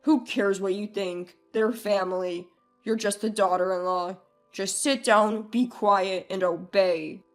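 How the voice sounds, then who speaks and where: Woman doing a curt, domineering husband, pitched down. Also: steady tone, unhurried, faint music behind, natural voice. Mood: smug, domineering. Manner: curt, scolding, condescending. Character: domineering, dismissive, selfish husband, adult man. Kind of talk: acted dialogue in a narrated family drama story.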